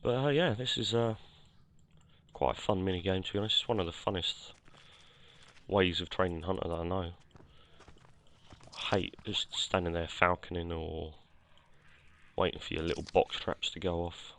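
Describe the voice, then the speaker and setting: A man, croaky from a cold, casually talking over his gameplay with lots of fillers and self-corrections. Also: sits low, fairly even intonation, normal speed, long pauses, fairly bright tone. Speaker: gameplay commentator. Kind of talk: casual game commentary.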